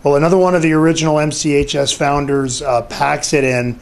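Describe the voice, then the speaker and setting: A man casually reminiscing about a colleague, repeating words haltingly. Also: on the high side, flat delivery, creaky. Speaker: colleague giving a tribute. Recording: faint background noise.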